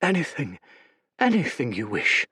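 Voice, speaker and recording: A man's voice: medium pitch, huge pitch swings, unhurried, sharp tone, breathy edge, dry recording, clean studio sound, exaggerated voice. A man voicing a male god of fate, his voice tight with desperation. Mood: desperate.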